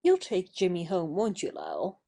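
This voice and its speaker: A woman performs a young lady being courted in a stage play for audio. The voice is mellow and slow with lively rises and falls, composed and kindly, with a teasing, reproving edge. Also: fairly low pitch, creaky, full character voice. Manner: measured, gently reproving.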